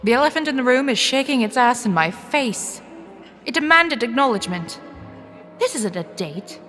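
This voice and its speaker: A woman playing a sardonic, wary young woman short of money in an audio drama, with wry, expressive inner monologue. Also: on the high side, moderate pace, big-room sound. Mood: wry, awkward. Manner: wry, expressive, with sighing interjections. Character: young cash-strapped woman, sardonic, wary, inwardly flustered. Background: music.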